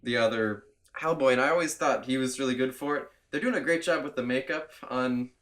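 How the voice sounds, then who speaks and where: A man's voice: medium pitch, brisk, fairly bright tone. A man hosting a casual podcast chat, fighting a cold and sounding a little nasal, talking loosely and laughing often. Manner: chatty, laughs often, rambling. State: has a cold. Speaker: podcast host.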